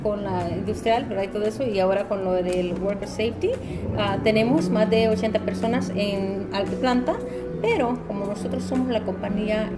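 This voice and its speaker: A woman's voice: medium pitch, normal speed. Salvadoran businesswoman, rambling, music behind. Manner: rambling, many restarts and fillers. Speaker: business owner guest. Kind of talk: casual interview answers.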